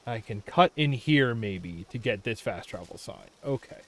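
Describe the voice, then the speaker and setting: A man's voice: mid-range voice, expressive, normal speed. A man hosting a livestream, giving casual gameplay commentary and muttering asides to himself. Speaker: livestream host. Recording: loud radio play and music behind.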